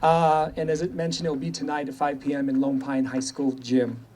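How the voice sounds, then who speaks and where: Older man, higher-pitched, addressing an event. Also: level tone, normal speed, darkish tone. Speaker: event co-host. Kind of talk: informal thank-you speech. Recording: live event, faint background noise. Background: faint ambient noise.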